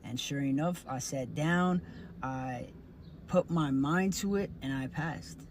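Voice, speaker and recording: A woman's voice: very deep voice, takes it slowly, slight background noise. A woman in her twenties giving an earnest, plain-spoken personal testimony. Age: 20s. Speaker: vlogger, licensed funeral director.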